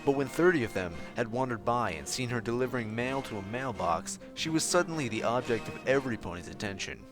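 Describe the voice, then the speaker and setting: A man reads a story as narrator with a very lively, expressive delivery. His voice sits at a middle pitch, and music plays clearly behind him. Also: fairly quick. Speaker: fan fiction narrator.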